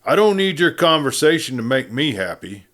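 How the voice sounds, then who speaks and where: A man's voice: fairly high pitch, very animated, normal speed, fairly bright tone, pitched above the performer's own voice. A man voicing a breezy, good-natured but proud cowboy prisoner, bantering in folksy Western talk. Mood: cold, offended.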